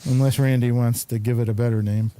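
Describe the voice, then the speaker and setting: A man hosting a casual studio chat in a halting, rambling manner. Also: medium pitch, flat delivery, warm tone, smooth. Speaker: host.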